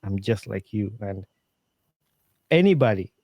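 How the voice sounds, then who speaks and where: A man from Uganda, a podcast guest giving earnest, animated advice in casual interview talk, with plenty of fillers and restarts. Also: on the low side, measured pace, no echo, clean studio sound.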